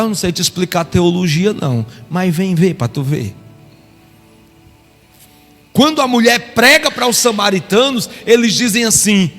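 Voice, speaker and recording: A man's voice: sits high, a bit bright. A middle-aged man from Brazil, preaching a live sermon with fervent exclamations of praise. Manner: impassioned, exclaims aleluia often. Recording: reverberant hall with faint music.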